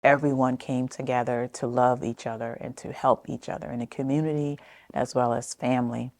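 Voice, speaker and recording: An American woman from New Jersey telling personal family history for a documentary, speaking in a reflective, halting way with frequent pauses. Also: extremely low pitch, little pitch movement, darkish tone, dry recording. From New Jersey, United States. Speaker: interviewee sharing family history.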